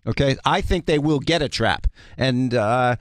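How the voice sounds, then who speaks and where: A man's voice: mid-range voice, unhurried, dry recording. A man analyzing basketball on sports talk radio, explaining step by step and checking in as he goes. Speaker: basketball analyst and studio guest.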